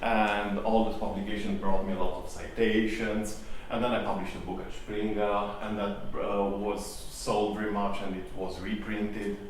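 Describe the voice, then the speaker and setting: A man's voice: lowish voice, little pitch movement, moderate pace, far from the mic, a little hiss or hum. Echoey hall talk, man. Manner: hesitant, many ums and restarts. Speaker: conference speaker. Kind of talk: live conference talk. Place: conference hall.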